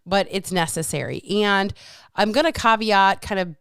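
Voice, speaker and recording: A woman's voice: mid-range voice, normal speed, fairly bright tone, clean tone, no echo, studio quality. A woman hosting her own podcast as a fitness coach, ranting bluntly with lots of swearing, a little congested with a lingering cough. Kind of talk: casual solo podcast rant.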